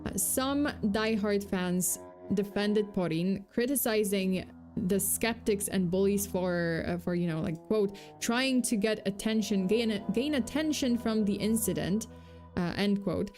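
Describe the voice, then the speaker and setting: A woman's voice: medium pitch, measured pace, darkish tone, faint music behind. A young woman with a light Polish accent, hosting a casual true-crime livestream, chatting loosely with lots of fillers and restarts. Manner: chatty, full of fillers and self-corrections, reads chat aloud. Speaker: VTuber host.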